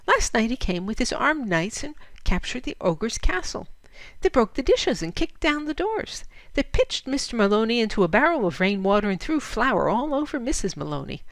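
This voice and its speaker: A woman's voice: a little high, sing-song, moderate pace, dry recording, pitched above the performer's own voice. A woman voicing a sleepy, dreamy little girl who earnestly tells a fairy tale in a storybook reading.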